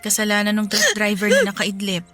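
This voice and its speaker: A woman playing a gentle, worried companion who carefully consoles someone in a Filipino radio drama. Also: mid-range voice, big pitch swings, a little fast. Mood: pained consoling. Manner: soothing, careful, sorrowful. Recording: prominent music behind the voice.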